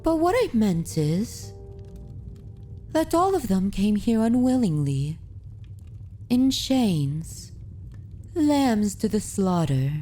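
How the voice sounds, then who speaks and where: Woman doing a posh-worded vampire mistress for asmr, predatory but comforting, talks real slow and formal, dark relaxed voice. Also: medium pitch, faint music behind. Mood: coolly menacing. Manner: formal, deliberate, archaic wording, soothing. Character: aristocratic female vampire mistress, predatory yet gently consoling. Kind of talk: ASMR roleplay, scripted dramatic monologue.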